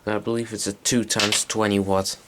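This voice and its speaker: A man's voice: fairly low pitch, little pitch movement, moderate pace, noisy background. A man giving a casual, unscripted hands-on demonstration as an electronics hobbyist, hesitant and thinking aloud as he goes. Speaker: electronics hobbyist presenting a tape recorder.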